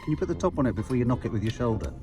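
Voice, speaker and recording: A man's voice: mid-range voice, expressive, rapid-fire. A middle-aged English-accented man in casual vlog banter, teasing and easily exasperated, with frequent swearing. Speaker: family vlogger. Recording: music behind the voice.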